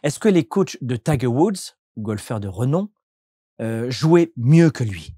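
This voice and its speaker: A man's voice: mid-range voice, big pitch swings, takes it slowly, warm tone, breathy edge, no room sound, studio quality. A man from France, a coach and entrepreneur, answering interview questions in a reflective, explanatory way with frequent restarts.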